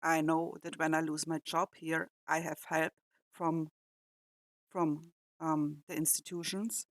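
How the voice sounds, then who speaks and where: A woman from Austria with an Austrian German accent and German as her first language, talking haltingly as a reaction host and pausing to search for words. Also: low-pitched, little pitch movement, no echo, clean studio sound. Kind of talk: casual reflective commentary.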